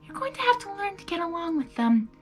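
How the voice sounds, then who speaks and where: A woman voicing a gentle, timid female pony bride from a cartoon fan fiction, soft-spoken and hesitant. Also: very high-pitched, very animated, fast talker, soft background music, pitched above the performer's own voice. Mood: coaxing.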